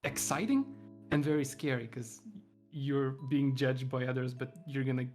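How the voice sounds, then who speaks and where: A man's voice: medium pitch, little pitch movement, normal speed, darkish tone, faint music behind. A man hosting a stream, talking in a chatty, enthusiastic and rambling way. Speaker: streamer host. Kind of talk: casual online chat.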